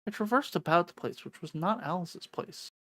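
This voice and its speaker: A woman's voice: fairly low pitch, expressive, normal speed, fairly bright tone. A woman streamer reading a visual novel aloud in a theatrical storytelling manner.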